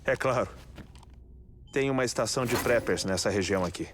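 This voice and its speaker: A man with a Brazilian accent acting a grieving, eccentric scholarly scientist in a dubbed video game, telling things in a measured, reflective way. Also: mid-range voice, background noise, natural voice. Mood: earnest, explanatory.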